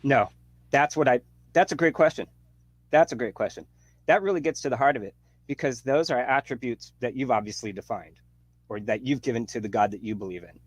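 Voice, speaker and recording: Fifty-year-old man in a debate, frustrated and rambling, mid pitch, dry sound. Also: brisk, no room sound. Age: 50s. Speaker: debate guest. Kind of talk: heated debate.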